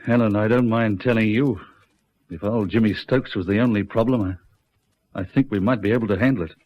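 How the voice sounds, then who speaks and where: An actor playing a firm, blunt country police sergeant in a radio drama, speaking in a deliberate, probing manner. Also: male voice, fairly low pitch, lightly acted. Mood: grave, urgent. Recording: dull lo-fi radio recording.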